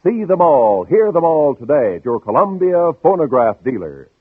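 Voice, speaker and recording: A dry, narrow-band old radio recording features an American male announcer. He sells in a polished, emphatic 1950s advertising style, his slightly husky voice swinging up and down with promotional energy. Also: on the high side, very animated, normal speed, dry recording. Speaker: commercial announcer.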